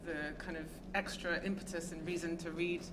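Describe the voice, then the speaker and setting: A woman responds to a lecture as a discussant on stage. Her voice sits a little low and she speaks hesitantly with frequent ums. The hall recording carries steady background noise. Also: normal speed. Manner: hesitant, many ums, warm thanks. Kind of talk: academic panel remarks.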